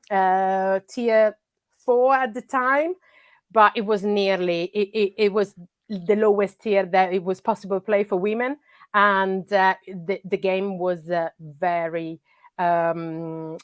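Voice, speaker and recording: A woman's voice: medium pitch, no room sound, studio quality. A middle-aged Italian woman, a soccer coach, talking in a podcast interview with many fillers and restarts. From Italy.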